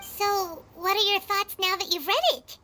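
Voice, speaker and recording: A woman voicing a small, childlike, chatty and excitable game companion with a squeaky voice. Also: unhurried, noisy background. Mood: questioning. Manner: exclamatory, inquisitive. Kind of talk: video game voice acting.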